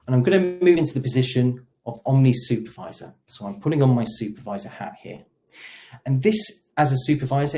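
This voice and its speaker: Male product demo presenter, soft dark tone, conversational step-by-step explaining. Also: mid-range voice. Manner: explanatory, role-plays agent dialogue. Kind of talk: software demo walkthrough, conversational.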